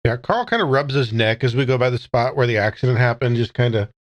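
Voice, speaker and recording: A man's voice: medium pitch, fast talker, highs cut off. A man playing a tabletop game, casually describing and thinking through what his character does. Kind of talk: casual improvised game talk.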